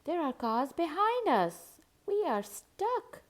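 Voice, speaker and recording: A woman voicing an excitable, eager little girl from a storybook, with dramatic, expressive delivery. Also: extremely high pitch, slow pace, voice pushed up, full character voice. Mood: dismayed. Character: excitable, eager little girl who loves fancy words. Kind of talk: children's storybook character voice.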